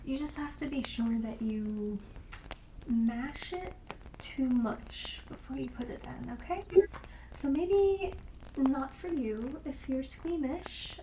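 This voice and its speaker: A woman playing a friendly, darkly quirky witch shopkeeper in an ASMR roleplay, speaking softly and breathily with gentle, coaxing sales patter that often drops to a whisper. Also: high voice, takes it slowly, reverberant, slight background noise, poor audio. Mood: coaxing, impish.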